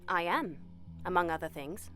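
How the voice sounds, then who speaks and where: A woman's voice: fairly low pitch, normal speed, sharp tone, faint music behind. Cool, condescending woman agent. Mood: composed, evasive. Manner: condescending, composed, ominous. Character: Ramsey, cool, controlling, cryptic woman agent of a research center. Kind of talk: audio drama acting.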